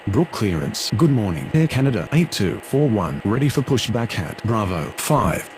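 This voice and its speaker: Man doing businesslike pilot radio calls, lively intonation, little bit of noise. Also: fairly low pitch, moderate pace, poor audio. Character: procedural male airline pilot and ground controller. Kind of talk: air traffic radio phraseology.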